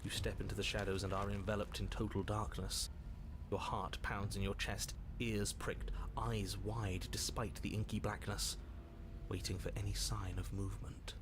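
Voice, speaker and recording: A man doing a low, ominous game narrator. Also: flat delivery, normal speed, noisy background, close to an everyday voice. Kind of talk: scripted second-person horror narration.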